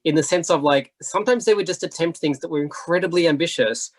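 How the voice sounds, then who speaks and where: Guy on a panel chatting away really quick, animated, bit husky. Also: a little high. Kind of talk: casual panel discussion.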